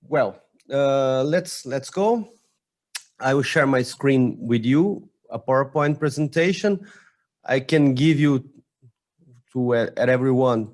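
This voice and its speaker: A man whose first language is Portuguese, speaking with a Brazilian accent, gives an online conference presentation in a halting way, with many restarts and fillers. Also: medium pitch.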